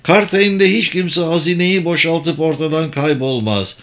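A man's voice: on the high side, unhurried, a bit bright, pitched above the performer's own voice. A man voicing a superior, persuasive sorcerer in an audiobook, speaking formally and insistently. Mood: explaining, assured. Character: persuasive, superior sorcerer who runs secret political games.

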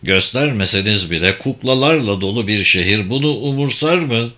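A man's voice: fairly low pitch, normal speed, pushed and bright, pitched below the performer's own voice. A man voicing a sardonic, distrustful young thief in an audiobook, with a sceptical, cutting delivery.

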